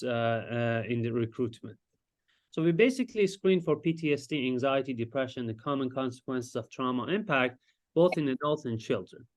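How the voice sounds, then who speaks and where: Guy giving a talk, mid-pitched voice, lots of little pauses to find words. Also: darkish tone. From Iran. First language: Farsi. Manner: explanatory, searches for words with frequent hesitations. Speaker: psychiatrist presenting research. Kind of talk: lecture presentation.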